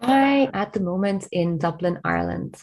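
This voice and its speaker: A woman's voice: medium pitch, animated, normal speed, a little mellow. A woman from Lebanon, a guest on an interview podcast over a remote call, answering earnestly and enthusiastically with frequent restarts.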